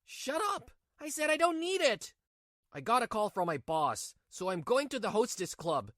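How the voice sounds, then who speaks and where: A woman's voice: a little high, big pitch swings, moderate pace, a bit bright, no room sound, studio quality. A woman voicing a selfish, irritable cheating husband with a dismissive manner in a manga dub. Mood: annoyed. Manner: dismissive, snappy when challenged. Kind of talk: manga dub character acting.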